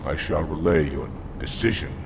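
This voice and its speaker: An indignant alien commander for a game, deep and rough-edged. He speaks formally and forcefully, holding anger in, under loud music. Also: animated, normal speed, background noise, exaggerated voice. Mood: restrained protest. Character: grim, indignant male alien military commander. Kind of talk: dramatic video game acting.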